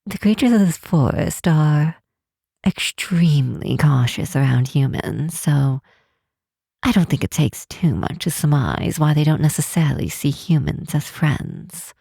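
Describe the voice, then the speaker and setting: A woman voicing a curious, teasing half-elf tower master in a fantasy ASMR roleplay, speaking softly in an unhurried, formal, old-fashioned way. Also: deep voice, expressive, no echo, studio quality, exaggerated voice. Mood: pensive, curious. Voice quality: soft, breathy, husky.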